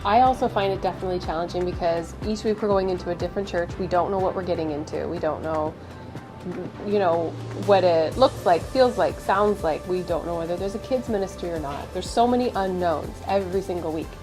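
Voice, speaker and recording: A woman's voice: lowish voice, brisk, music in the background. A woman hosting a family travel vlog, talking casually with a stuffed-up nose from a cold. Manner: chatty, candid, many fillers. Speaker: vlog host.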